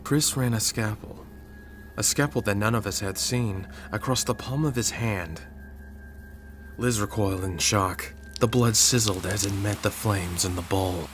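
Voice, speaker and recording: A man narrating a scripted first-person horror story in a measured storyteller's delivery. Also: lowish voice, soft background music.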